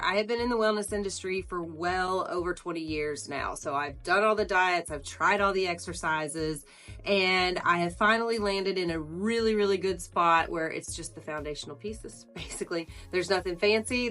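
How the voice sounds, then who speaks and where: A woman in her forties, a wellness coach, explaining training step by step, slightly nasal as she gets over the flu. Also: fairly low pitch. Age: 40s. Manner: warm, earnest, explains step by step with pauses. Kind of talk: instructional talk to camera.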